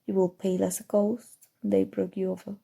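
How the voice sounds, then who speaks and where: A woman voicing a smug, teasing, coolly menacing evil sorcerer in an ASMR roleplay, speaking softly and deliberately with breathy drifts into whispering. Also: mid-range voice, level tone. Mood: sly, measured. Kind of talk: ASMR roleplay, scripted character monologue.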